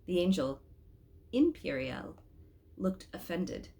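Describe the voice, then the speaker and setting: Animated woman reading a story aloud. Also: lowish voice, takes it slowly, gravelly. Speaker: storybook reader. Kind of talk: expressive read-aloud narration.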